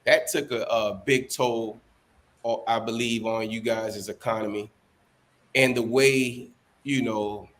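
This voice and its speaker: A man's voice: mid-range voice, fairly even intonation, slow pace, fairly bright tone. A man who is a guest in a casual interview, answering in an easygoing, hedging way with many fillers. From Dominican, born in the United States.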